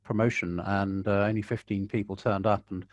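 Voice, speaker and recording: A man giving calm, measured advice and answering questions in a video-call webinar, with a dry, wry way of talking. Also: lowish voice, no room sound, muffled recording. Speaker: guest conservationist.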